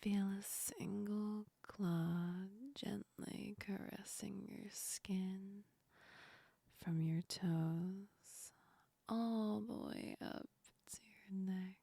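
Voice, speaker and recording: A woman playing a teasing yet comforting female sleep demon in a whispered ASMR roleplay, breathy and soft, speaking close to the ear. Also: medium pitch, fairly even intonation, extremely slow, no room sound, exaggerated voice. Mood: menacing, slow. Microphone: very close ASMR microphone.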